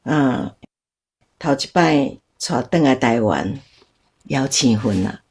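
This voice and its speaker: Casting: reserved grandmother, Taiwanese accent, radio drama, husky voice with varied intonation. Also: extremely low pitch, animated, slow, darkish tone, full character voice. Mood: reserved, polite. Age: older. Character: Taiwanese grandmother reserved before her old flame.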